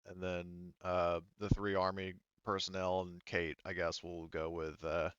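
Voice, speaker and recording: A man acting as game master, casually narrating a tabletop game with halting, self-interrupting phrasing. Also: deep voice, flat delivery, fairly bright tone, clean tone, dry recording, highs cut off.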